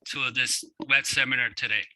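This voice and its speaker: A man's voice: mid-range voice, fairly even intonation, normal speed, bright, forceful tone, no echo. A man originally from Cuba introducing a speaker at a webinar over a video call, hesitant with many fillers. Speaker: event chairperson.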